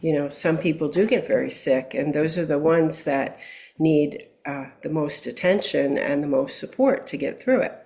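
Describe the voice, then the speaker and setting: A woman's voice: sits low, moderate pace. A woman, a health practitioner guest, giving long explanatory answers with frequent fillers over a video call. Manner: explanatory, steady, many fillers. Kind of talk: interview answer.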